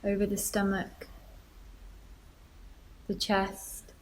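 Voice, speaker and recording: A woman leading a guided meditation, gently giving instructions with deliberate pauses. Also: medium pitch, completely flat delivery, a little hiss or hum, poor audio.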